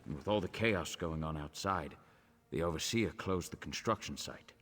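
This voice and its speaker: A man playing an anxious, devout temple follower in a fantasy video game, flustered and fretful, with a husky voice. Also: deep voice, normal speed, in a large hall, noisy background, natural voice. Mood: hushed, instructing. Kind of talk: video game dramatic acting.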